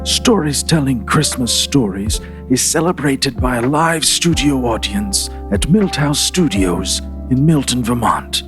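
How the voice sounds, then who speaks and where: Man reading an outro over music. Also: medium pitch. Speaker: announcer. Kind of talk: scripted show outro. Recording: voice over clear music bed.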